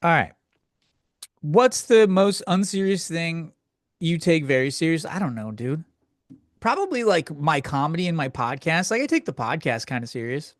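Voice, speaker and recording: A man's voice: fairly high pitch, big pitch swings, normal speed, no echo, clean studio sound. A man hosting a comedy podcast, ranting casually in a crude, self-deprecating, rambling conversational way. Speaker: podcast host. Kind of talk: casual comedic solo rant, answering listener questions.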